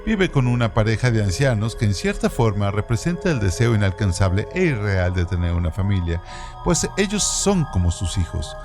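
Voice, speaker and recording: A man presenting a radio program, reading literary trivia from a script in a measured, even way. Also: on the low side. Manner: measured, reads evenly from a script. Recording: music bed under voice. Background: background music.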